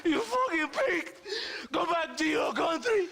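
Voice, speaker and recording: An actor playing a cornered, defiant captain in a video game, shouting and pleading. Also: male voice, very high-pitched, expressive, unhurried, creaky, full character voice. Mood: furious. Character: cornered, cursing Mexican military captain begging and defiant. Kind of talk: video game character acting.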